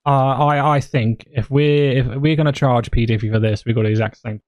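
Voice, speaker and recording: A man's voice: medium pitch, steady tone, brisk, background noise. A man playing a sheriff in a roleplay meeting, answering in short casual replies with some swearing. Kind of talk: in-character roleplay meeting talk.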